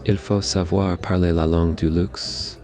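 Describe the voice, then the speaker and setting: A man voicing a suave, teasing, affectionate mafia boss in a low murmur for a romantic ASMR roleplay. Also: flat delivery, normal speed, vocal fry, darkish tone, soft background music. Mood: teasing, flirtatious.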